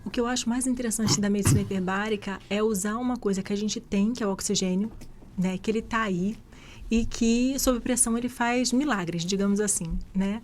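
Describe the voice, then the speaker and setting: A woman's voice: medium pitch, brisk, a little mellow, soft background music. A woman from Rio de Janeiro with a Carioca accent, answering interview questions as a guest doctor in an explanatory, conversational way. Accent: Carioca Brazilian Portuguese. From Rio de Janeiro, Brazil. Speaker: guest infectious disease doctor. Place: live radio podcast interview.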